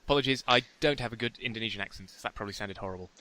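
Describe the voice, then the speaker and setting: A man's voice: fairly low pitch, very fast, sharp tone, slight background noise. A British man co-hosting a podcast, laid-back and agreeable, often answering in short replies. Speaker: podcast co-host. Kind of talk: casual anime discussion.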